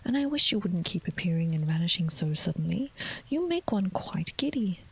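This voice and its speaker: A woman's voice: fairly low pitch, sing-song, normal speed, vocal fry, slightly rough, heavily acted, rough recording. A woman whispering the part of a polite, curious young girl in a storybook reading, timid and inquisitive. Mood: mildly exasperated.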